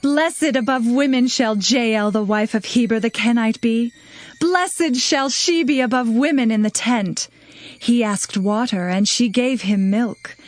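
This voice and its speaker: Woman playing a slow, triumphant poetess. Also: fairly high pitch, close to an everyday voice. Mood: solemn triumph. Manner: deliberate, declamatory. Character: triumphant female singer-poet reciting a victory ode. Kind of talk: dramatized scripture poetry.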